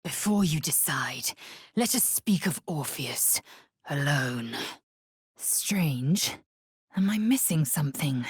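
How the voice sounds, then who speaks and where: A woman voicing a fierce, proud young githyanki warrior in a video game, blunt and disdainful, with clipped, formal, archaic phrasing and a husky, breathy voice. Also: deep voice, expressive, takes it slowly, long pauses, no echo, clean studio sound, heavily acted. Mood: grave, then puzzled, wary. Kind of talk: video game character voice lines.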